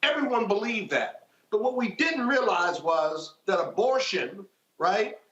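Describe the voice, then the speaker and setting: Male political pundit, high-pitched and argumentative with rhetorical questions, in very roomy sound. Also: sing-song, a little slow, a bit bright. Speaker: political commentator on a panel. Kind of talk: unscripted political panel discussion. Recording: echoey room, dull lo-fi call audio.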